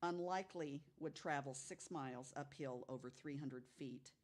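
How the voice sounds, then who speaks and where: A woman's voice: deep voice, normal speed. A woman telling stories of unexplained cases in a casual way, as a guest on a podcast.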